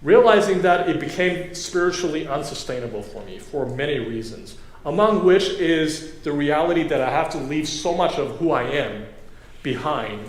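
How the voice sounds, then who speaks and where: A man from Taiwan giving a conversational sermon as a guest preacher, pausing often with fillers. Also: on the high side, animated. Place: church sanctuary. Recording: echoey church room, faint ambience. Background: congregation.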